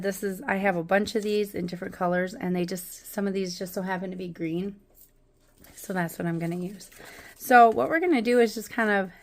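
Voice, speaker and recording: A woman in her thirties hosting a casual crafting video, her voice still worn from a cold, talking in a rambling way with lots of ums and likes. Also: mid-range voice. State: recovering from a cold, voice not fully back. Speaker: craft channel host. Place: indoors at a craft table.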